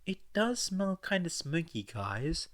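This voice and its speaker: A man's voice: on the high side, very animated, slow pace, a bit bright, no echo, clean studio sound, pitched above the performer's own voice, full character voice. A man voicing a girlish young woman villain, curious and a little hesitant.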